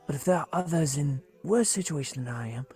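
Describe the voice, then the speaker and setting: A man's voice: a little high, lively intonation, brisk, darkish tone, soft background music. A man playing a meek, kindly, nervous clerk in a radio play, timid and deferential toward his employer. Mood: deferential, earnest. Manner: deferential, timid, eager to please. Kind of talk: theatrical radio play acting.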